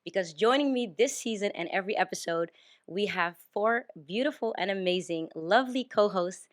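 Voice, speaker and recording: This is an enthusiastic woman in her late twenties, welcoming listeners and running a casual group chat. Her voice sits at a middle pitch and stays upbeat and lively throughout. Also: normal speed. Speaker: main host.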